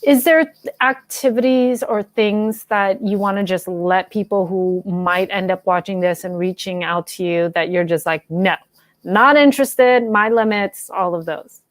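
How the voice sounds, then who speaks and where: A woman's voice: lowish voice, flat delivery, moderate pace, dry recording. A woman interviewing a guest in a casual, conversational way as the host.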